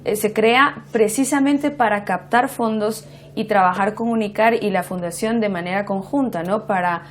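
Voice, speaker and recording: A woman's voice: mid-range voice, normal speed, slight background noise. A woman, hosting a television interview in a conversational style.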